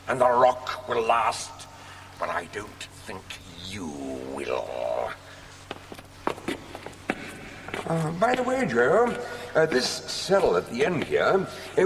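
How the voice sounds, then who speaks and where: An actor playing a suave, menacing sorcerer villain in an audio drama, theatrical and mockingly polite with drawn-out threats. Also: male voice, mid-range voice, noisy background. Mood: quietly menacing.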